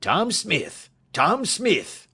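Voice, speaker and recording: A man voicing a sailor who loudly hails his shipmates to surrender in a storybook reading. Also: sits high, wildly swooping pitch, very slow, husky, voice pushed up, exaggerated voice. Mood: urgent shouting. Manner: loud hailing, urgent. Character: male sailor shouting a surrender call.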